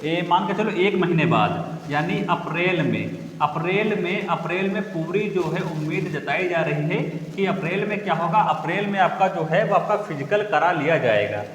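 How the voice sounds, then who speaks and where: Echoey, high-voiced Hindi exam tutor. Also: male voice, expressive, moderate pace, few pauses, a little hiss or hum. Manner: repeats points as questions to the class. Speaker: exam-prep teacher. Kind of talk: repetitive classroom-style explanation. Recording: echoey room with long reverb, faint ambience.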